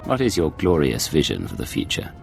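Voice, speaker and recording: A cool, controlled secret agent from a sci-fi game. His voice sits very low with a gravelly creak, and he delivers his lines with dry, sardonic wit. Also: wildly swooping pitch, a little fast, music in the background, full character voice. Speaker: voice actor. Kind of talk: dramatic sci-fi game dialogue.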